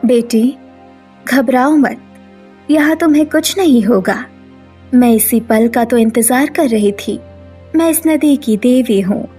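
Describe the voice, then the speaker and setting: A woman voicing a solemn, motherly river goddess in a cartoon, speaking in a measured, grave way. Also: fairly high pitch, mellow, soft background music. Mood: reassuring.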